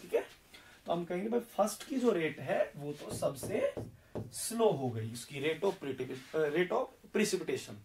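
A hoarse young man teaching chemistry at a board, explaining step by step and asking questions that he answers himself. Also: a little high, expressive, unhurried, distant mic, noisy background. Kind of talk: whiteboard lecture. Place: indoor classroom in front of a green board.

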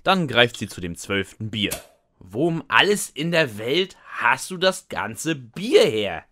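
A man's voice: mid-range voice, sing-song, slow, a bit bright, a little hiss or hum. A man narrating and acting the lead in a scripted comic fan audio drama, with a dry, sarcastic, resigned manner. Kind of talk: scripted first-person comic narration with dialogue.

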